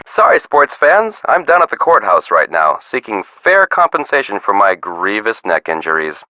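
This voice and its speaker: Breezy slick hustler, video game, glib showy manner, deep bright voice, dry close sound. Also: male voice, wildly swooping pitch, moderate pace, dry recording, full character voice, rough recording. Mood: breezy, smug. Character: breezy, slick man chasing an injury settlement. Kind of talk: video game character voice lines.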